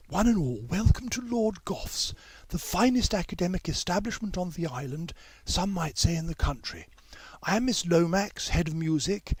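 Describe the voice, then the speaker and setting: A man voicing a brisk, gracious woman music teacher in a storybook, with a trilling, formal, theatrical manner. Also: sits high, darkish tone, breathy edge, dry recording, pitched above the performer's own voice. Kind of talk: storybook character voice.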